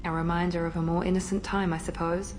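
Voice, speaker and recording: A woman's voice: on the low side, little pitch movement, close to an everyday voice. A woman as a cool, controlled operative. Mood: reserved. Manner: measured, reserved, precise. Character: composed adult female operative, cool and controlled. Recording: game audio with ambient noise.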